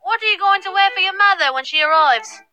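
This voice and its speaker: A woman's voice: very high voice, quick pace, bright, forceful tone, muffled recording. A woman playing a folksy, gossipy young maid in a visual novel, with an exclaiming delivery. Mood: helpful. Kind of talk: visual novel character acting.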